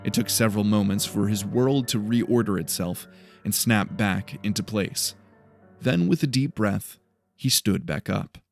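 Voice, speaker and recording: A man's voice: lowish voice, measured pace, gravelly. A man narrating dramatic fiction from a script as an audiobook storyteller. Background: faint music.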